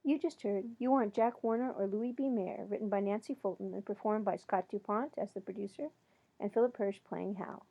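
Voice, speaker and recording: Lady reading credits, mellow dark voice, medium pitch, bit muffled. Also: fairly quick, vocal fry. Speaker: credits announcer. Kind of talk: scripted narration.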